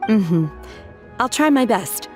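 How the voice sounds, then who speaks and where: A woman's voice: medium pitch, expressive, takes it slowly. A woman playing a reserved, dutiful restaurant worker in a video game, understated and brief. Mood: calm, dutiful. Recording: loud music behind the voice.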